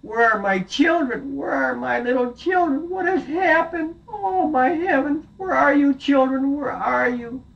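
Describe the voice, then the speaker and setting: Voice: mid-range voice, animated, a little slow, muffled recording, voice pushed up, close to an everyday voice. A storyteller voicing an anxious, caring mother goat who warns her children with old-fashioned words. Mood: frantic. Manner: fussy, warning, old-fashioned speech.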